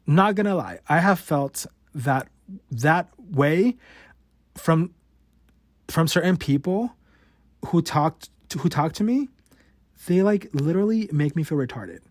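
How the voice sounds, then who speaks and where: A man's voice: a little high, animated, slow pace, long pauses, darkish tone, dry recording, studio quality. A man in his late twenties who stutters, hosting a podcast and talking bluntly and frankly about his own life, with frequent swearing. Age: 20s. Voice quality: stutters, with repeated words and cut-off sounds. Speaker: podcast host. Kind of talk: candid personal monologue.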